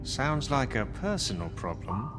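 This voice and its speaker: An actor playing a cheeky, defiant suspect in a video game, flippant and sarcastic. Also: male voice, mid-range voice, very animated, measured pace. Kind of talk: video game dramatic acting. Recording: long hall reverb, music behind.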